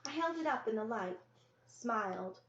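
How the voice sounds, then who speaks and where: A woman's voice: fairly high pitch, expressive, normal speed, a bit bright. A woman reading a children's novel aloud as scripted first-person narration. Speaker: story reader. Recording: roomy, echoey, dull lo-fi sound.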